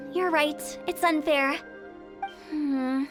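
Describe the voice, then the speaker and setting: Brief: cheeky young undertaker in a game, very high voice, faint music. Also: female voice, drawn-out words. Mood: scheming. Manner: cheeky, breezy sales pitch with giggles. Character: young female funeral parlor director, mischievous and playful.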